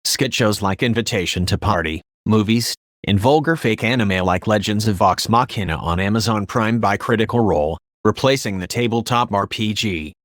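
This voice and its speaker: A synthetic text-to-speech male voice reading scripted commentary as a video host, in a flat, measured way. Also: lowish voice, no room sound, clean studio sound. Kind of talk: scripted narration.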